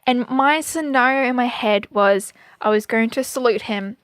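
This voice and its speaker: A woman's voice: fairly high pitch, moderate pace, fairly bright tone, dry recording. Chatty young woman co-host. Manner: storytelling, hesitant with fillers. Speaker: podcast co-host. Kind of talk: casual podcast chat.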